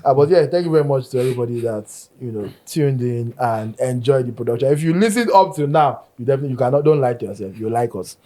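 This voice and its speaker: A man with a Yoruba-tinged Nigerian accent, hosting a casual football podcast in a lively, joking way. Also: mid-range voice, fast talker, few pauses. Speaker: podcast host.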